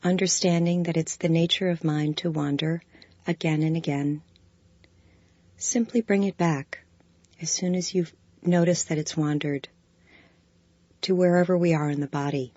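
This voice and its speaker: A woman with a notably low, deep voice guides a body scan meditation in slow, soft, calm phrases. The recording is dry and close, with a slightly dull, muffled tone. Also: no echo.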